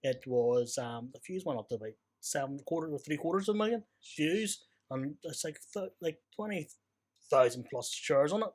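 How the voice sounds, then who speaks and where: A man's voice: a little high, animated, moderate pace, a little mellow. A man with a Northern Irish accent, born in Hong Kong with Hakka as his first language, telling stories as a podcast guest. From born in Hong Kong, grew up in Northern Ireland. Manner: chatty storyteller, repeats "so it is" and "flipping". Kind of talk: casual chat.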